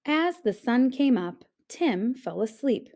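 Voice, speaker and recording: A woman narrating a children's storybook in an expressive read-aloud style. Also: on the high side, takes it slowly. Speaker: storybook reader.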